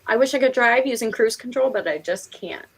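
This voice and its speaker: A woman's voice: fairly high pitch, lively intonation, fairly quick, husky. A woman with a Northeastern American accent hosting a live stream, chatting casually in a giggly, talkative way. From Pennsylvania and New York, United States. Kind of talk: casual chat.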